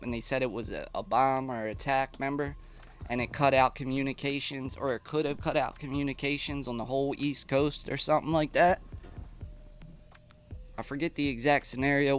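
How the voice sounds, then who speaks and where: Guy with a stuffed-up nose doing a live stream, smooth clear voice, bit of music behind. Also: mid-range voice. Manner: chatty, reads viewer comments aloud. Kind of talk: casual live stream chat.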